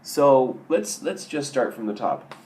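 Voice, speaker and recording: A young man with a raspy, hoarse voice from a sore throat, telling stories in a casual, self-mocking vlog. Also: medium pitch, expressive, unhurried, mellow. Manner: self-mocking, wry, rambling with restarts. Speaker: vlogger.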